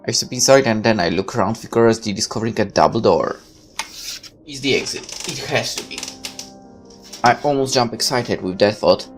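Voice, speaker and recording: Man narrating a game over music. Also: medium pitch. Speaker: gaming let's player reading a visual novel aloud. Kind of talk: expressive narration of game text with casual asides.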